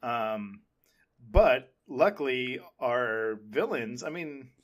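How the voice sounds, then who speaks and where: Male podcast host, medium pitch, casual conversational delivery. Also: animated, very slow. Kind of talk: casual chat.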